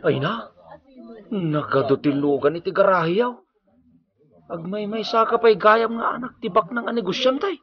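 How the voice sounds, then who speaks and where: A man's voice: high-pitched, normal speed, long pauses, muffled recording. An actor playing a chatty, easily impressed male coworker in a radio drama, with an Ilocano Filipino accent. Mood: awestruck. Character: awestruck, chatty male coworker named Rudy.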